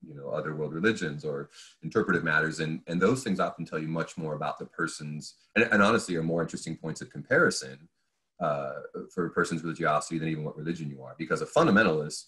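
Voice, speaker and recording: A man's voice: extremely low pitch, fast, a bit bright. A man who is a professor and podcast guest, talking conversationally in an analytical, discursive way and voicing imagined questions. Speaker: podcast guest, philosophy and religious studies professor.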